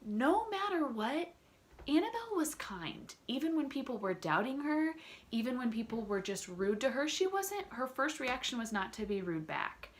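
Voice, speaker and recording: A woman's voice: sits high, very animated, normal speed. A woman reading a children's story aloud with dramatic emphasis, adding casual comments for young listeners. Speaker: teacher reading a picture book aloud.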